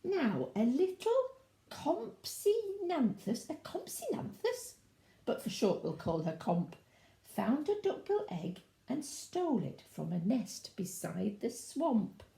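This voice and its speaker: Female children's storyteller, unhurried and sing-song, highly varied pitch, chatty asides, roomy sound. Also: huge pitch swings, creaky, warm tone. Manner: sing-song, addresses young listeners.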